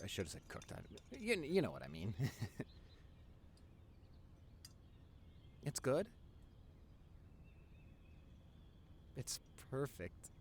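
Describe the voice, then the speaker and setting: Man playing a goofy nerdy boyfriend, animated voice. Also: medium pitch, a little hiss or hum, pitched below the performer's own voice, lightly acted. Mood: bashful, adoring. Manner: teasing, stammering when flustered. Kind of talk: intimate boyfriend audio roleplay.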